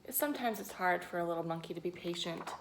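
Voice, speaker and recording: A woman reading a picture book aloud to young children, expressively, pausing to ask them questions and add her own asides. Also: lowish voice, vocal fry, slight background noise. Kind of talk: read-aloud storytelling with asides to young listeners.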